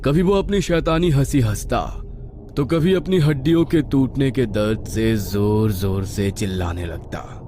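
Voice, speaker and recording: A man's voice: medium pitch, unhurried, dark tone, slight background noise. A man reading a scripted horror story as a dramatic narrator. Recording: music behind the voice.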